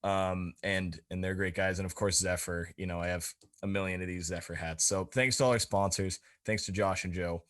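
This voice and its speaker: A man's voice: low-pitched, steady tone, a little fast, hardly any pauses, a bit bright. A man co-hosting a podcast, talking in a casual, rambling, informal way. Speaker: podcast co-host.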